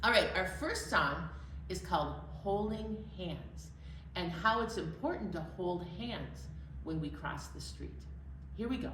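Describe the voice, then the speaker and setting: A woman's voice: lowish voice, animated, measured pace, fairly bright tone, a little hiss or hum. A woman hosting a children's library storytime, speaking in simple, encouraging phrases for young children and leading sing-alongs. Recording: roomy, distant mic, music.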